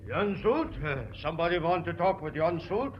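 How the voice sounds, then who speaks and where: An actor playing a gruff, easygoing Dutch cargo captain who speaks hesitant broken English with a Dutch accent. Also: male voice, fairly high pitch, expressive, moderate pace, background noise, natural voice. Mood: jovial, flustered. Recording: dull old radio recording, music behind.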